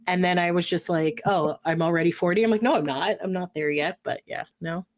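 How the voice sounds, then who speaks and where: A woman in her forties co-hosting a podcast, chatting casually and telling stories with lively acted-out quotes. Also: fairly low pitch, a little fast. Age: 40s. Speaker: podcast co-host. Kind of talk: casual chat.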